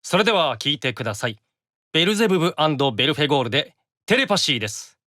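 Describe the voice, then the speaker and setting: Lively, quick-speaking man chatting as guest. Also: on the high side. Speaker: podcast guest, voice actor. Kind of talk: casual conversational chat. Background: faint background music.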